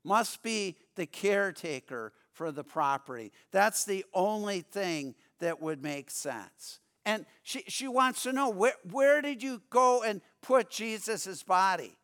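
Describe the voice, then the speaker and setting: A man preaching a sermon as pastor, earnest, often stumbling and repeating words, dropping lower and quieter to read scripture. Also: animated, takes it slowly, hardly any pauses.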